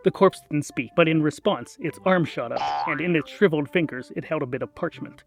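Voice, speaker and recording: Guy reading a funny fantasy story, kinda high voice, dry n theatrical, music behind. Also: a little fast, creaky. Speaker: narrator. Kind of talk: scripted comic fantasy audio storytelling.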